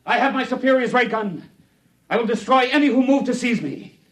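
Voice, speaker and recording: An actor playing an earnest, questioning young heretic aboard a starship, with intense 1950s radio drama delivery. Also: male voice, very high voice, expressive, fairly quick, fairly bright tone. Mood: urgent, pleading. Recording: noisy old radio recording.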